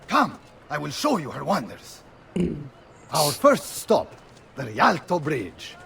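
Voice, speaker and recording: A man's voice: fairly high pitch, very animated, slow pace, gravelly, sharp tone, slight background noise, exaggerated voice. A man playing a proud Venetian tour guide in a video game, with an Italian accent and a theatrical, effusive manner. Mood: proud, gushing. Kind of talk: video game character acting.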